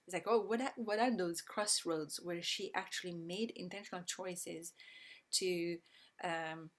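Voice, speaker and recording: A woman with an Italian accent, a guest in an interview, answering thoughtfully with many pauses, ums and restarts. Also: lowish voice. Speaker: guest, systems thinking educator.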